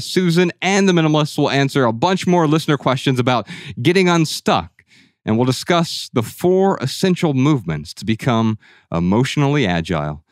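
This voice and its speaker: A man's voice: medium pitch, no echo, studio quality. A man hosting a podcast, talking in an earnest, reflective way and sharing anecdotes. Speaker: podcast host. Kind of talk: casual podcast conversation.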